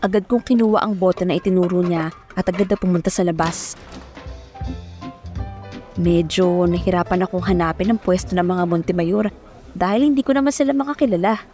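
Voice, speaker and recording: A woman's voice: fairly low pitch, a little fast, long pauses, dark tone. A young woman narrating an audio romance serial in the first person, as a dramatic storyteller. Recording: music bed under the voice.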